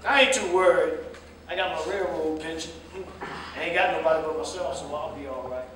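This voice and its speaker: Echoey, far-off actor as high-voiced older American jitney driver. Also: male voice, brisk, crowd noise, close to an everyday voice. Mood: matter-of-fact. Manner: folksy, emphatic, lecturing. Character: older railroad pensioner jitney driver, fatherly, plain-spoken adviser. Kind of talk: staged play reading, dialogue acting. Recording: distant mic, echoey hall, faint noise.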